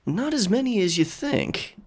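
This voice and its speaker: A man's voice: high-pitched, very animated, heavily acted. A man playing a mischievous, taunting pirate captor in an audio drama, smug and playful. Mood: smug, teasing. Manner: slow, teasing, smug.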